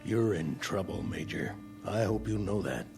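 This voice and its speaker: An actor playing a stern, easily exasperated general in a radio drama, speaking formally. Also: male voice, sits low, lively intonation, normal speed, vocal fry, loud music behind the voice.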